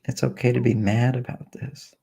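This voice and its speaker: A man with a mellow, gravelly voice leads a guided meditation. He talks slowly and softly, leaving long pauses, and his tone is husky and a little breathy. His pitch stays flat and even. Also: muffled recording. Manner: slow, soft, soothing delivery with long pauses.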